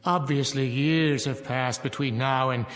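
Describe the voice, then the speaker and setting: An actor playing a dignified, judgmental clergyman in a radio drama, with a formal, measured, reproving delivery. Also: mid-range voice, fairly even intonation, a bit bright, close to an everyday voice. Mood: reasoning, uneasy. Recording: reverberant radio drama with music underneath.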